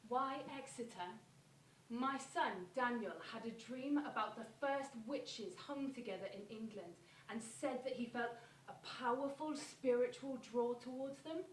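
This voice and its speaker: A woman's voice: on the high side, lightly acted. A woman playing a self-assured, earnest psychic father in a stage monologue, recorded far from the microphone with echo and noise. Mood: earnest, mystical. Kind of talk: theatrical monologue of quoted statement. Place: theatre space, recorded from afar. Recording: noisy, echoey, distant microphone.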